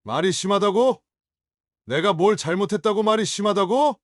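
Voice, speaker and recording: An actor playing a hostile, hungover young adult son in an audio drama, sneering and confrontational. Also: male voice, high-pitched, animated, moderate pace, no echo, studio quality. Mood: raging.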